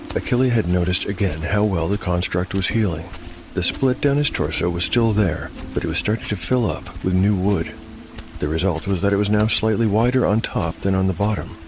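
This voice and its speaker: A man narrating as host of a scripted dark fantasy story show, in a measured, articulate storytelling manner. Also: low-pitched, background noise. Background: music.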